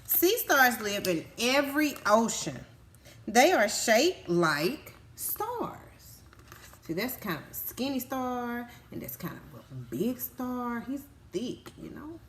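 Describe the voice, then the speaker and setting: A woman's voice: on the high side, big pitch swings, slow, fairly bright tone, slight background noise. A woman reading a picture book aloud to young children as a teacher, explaining simply and often stopping to ask check-in questions. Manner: instructive, simple child-directed phrasing with frequent check-in questions.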